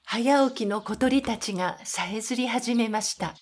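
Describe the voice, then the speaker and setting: High-voiced woman narrating an audio drama. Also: moderate pace.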